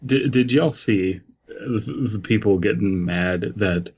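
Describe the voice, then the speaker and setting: A man co-hosting a podcast in casual, joking chat, halting at times with deadpan quips, on slightly lo-fi audio. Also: lowish voice, husky. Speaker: podcast co-host.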